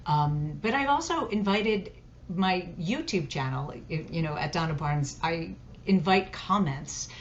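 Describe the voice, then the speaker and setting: Muffled, lower-voiced American woman, chatty. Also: animated, measured pace. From suburbs of Philadelphia, United States. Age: middle-aged. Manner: chatty, often tags statements with "right?". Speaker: podcast guest, dating coach. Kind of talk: casual conversational interview. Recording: muffled, clearly noisy connection.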